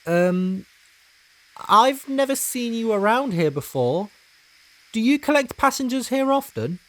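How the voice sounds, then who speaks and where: A man voicing a kind, motherly female steam engine in a storybook dub, earnest and expressive. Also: very high-pitched, a little slow, voice pushed up. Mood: nervous politeness.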